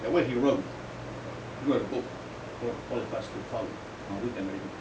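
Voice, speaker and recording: A man, a poet at a live reading, speaking in a deliberate, declamatory way with halting phrases. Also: mid-range voice. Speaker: poet reading own poems. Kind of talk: live poetry reading with informal asides. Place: indoor live poetry event, recorded from off. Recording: roomy, distant, dull, noisy live recording.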